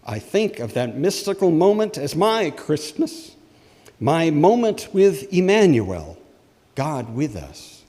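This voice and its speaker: A man playing a solemn, impassioned ancient Hebrew prophet, delivering a scripted sermon in measured, emphatic oratory. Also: a little high, sing-song, warm tone, full character voice. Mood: fervent reverence. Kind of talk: dramatic first-person sermon read from a script. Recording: long hall reverb.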